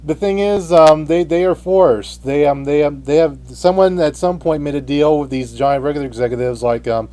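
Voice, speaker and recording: Higher-voiced man, halting radio host. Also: warm tone. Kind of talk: unscripted radio debate.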